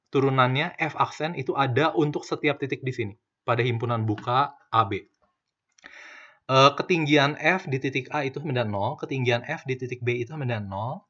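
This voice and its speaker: A man giving a university math lecture, explaining step by step with frequent filler pauses and "okay" check-ins. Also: mid-range voice, fairly bright tone. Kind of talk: recorded lecture with slides. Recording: slightly dull lo-fi mic.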